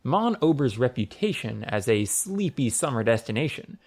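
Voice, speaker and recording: Male storyteller for comic fantasy audiobook, mid-pitched, highly varied intonation, wry delivery. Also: sing-song, normal speed, darkish tone. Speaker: audiobook narrator. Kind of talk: scripted story narration.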